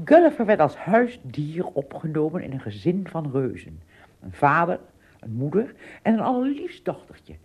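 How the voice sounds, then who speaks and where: A woman narrating an adventure tale in a radio play, with expressive, dramatic phrasing and a husky, breathy voice. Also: sits low, normal speed, full character voice. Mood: fond storytelling. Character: female storyteller of an adventure tale.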